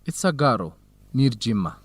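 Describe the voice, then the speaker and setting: An easygoing, modest young male researcher in a radio drama. His voice sits at a middle pitch, and he talks politely and frankly in a low-key way. The sound is dry and close. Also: big pitch swings, moderate pace, slightly rough, dry recording. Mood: modest, open. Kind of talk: educational radio drama acting.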